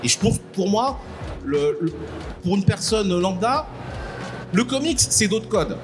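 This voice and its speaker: A man's voice: high voice, very animated. Man speaking over convention noise. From Congo. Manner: halting, repeats words while thinking. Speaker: podcast guest speaker. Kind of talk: live panel discussion. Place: convention stage before a live audience. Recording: noisy live event ambience.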